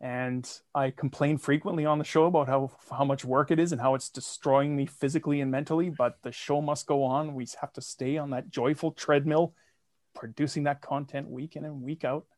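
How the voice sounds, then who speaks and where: A man's voice: fairly high pitch, steady tone, moderate pace, dry recording. A man guest on a podcast, talking about his show in a wry, self-deprecating way. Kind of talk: casual interview.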